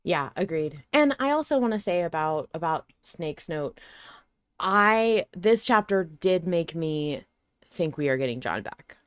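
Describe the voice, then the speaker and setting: A woman's voice: lowish voice, very animated, measured pace, clear, resonant tone, no echo, clean studio sound. A woman hosting a podcast, casually discussing fiction and thinking aloud, often rephrasing and repeating for emphasis. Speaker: podcast host. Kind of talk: casual discussion of an audio drama.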